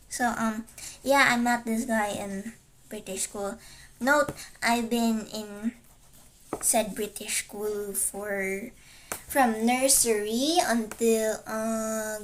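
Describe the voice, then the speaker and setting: Teenage girl, first language Chinese, somewhat high voice, slow unhurried talk, rambling with lots of ums, casual livestream chat. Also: fairly bright tone, a little hiss or hum. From Philippines. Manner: rambling, hesitant, full of fillers and self-corrections. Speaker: vtuber streamer.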